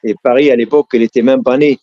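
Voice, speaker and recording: Middle-aged Syrian man, Arabic accent, earnest interviewee, mid pitch, halting restarts, slightly muffled audio. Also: fairly even intonation, mellow. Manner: frequent restarts and hesitations, earnest. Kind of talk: casual storytelling interview.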